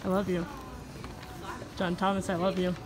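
A woman's voice: fairly low pitch, level tone, moderate pace. A teenage girl filming friends on her phone in a shopping mall, talking casually, teasing and laughing often. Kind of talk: casual chat behind the camera. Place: large indoor shopping mall. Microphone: phone held in hand. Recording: busy mall crowd, music behind.

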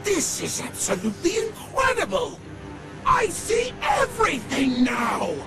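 An actor voicing a short, megalomaniac evil dark sorcerer in a video game, shrill, pompous and taunting. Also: male voice, huge pitch swings, unhurried, loud music behind the voice, full character voice. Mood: triumphant menace. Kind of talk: over-the-top cartoon villain acting.

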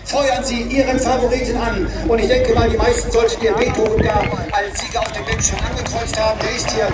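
High-pitched male race announcer, echoing from afar. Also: level tone, brisk, loud music behind the voice. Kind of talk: live harness racing commentary. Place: outdoors at a grass racetrack. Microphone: public-address speakers picked up from afar. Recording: distant echoing trackside PA, loud crowd.

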